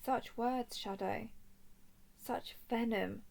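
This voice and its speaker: A woman acts out a young dragon princess in a fantasy audio drama. Her voice sits a little high, with a noticeable creak at the edges. She speaks softly and formally, choosing words with deliberate care, a composed captor full of curiosity. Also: fairly even intonation. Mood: solemn, curious. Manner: formal, deliberate, soft-spoken. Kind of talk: scripted roleplay audio drama.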